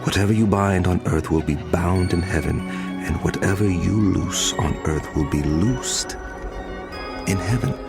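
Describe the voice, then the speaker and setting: A man's voice: low voice, a little slow, vocal fry, over loud music. An actor playing a grave, commanding male teacher in a dramatized scripture recording, speaking with solemn, emphatic delivery. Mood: solemn, approving. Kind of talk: dramatized scripture audio acting.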